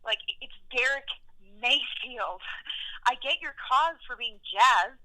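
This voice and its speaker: Jaded female music manager, fairly high voice, piercing tinny phone sound, casual, frank, audio drama. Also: lively intonation, slow pace, sharp tone, heavily acted. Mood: candid, weary. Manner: casual, hesitant, candid. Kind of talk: acted interview in podcast drama.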